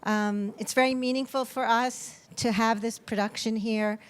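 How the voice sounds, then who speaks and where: A woman giving an informal, halting welcome speech to a theatre audience as artistic director. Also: fairly high pitch, steady tone, clear, resonant tone. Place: theatre, live audience event.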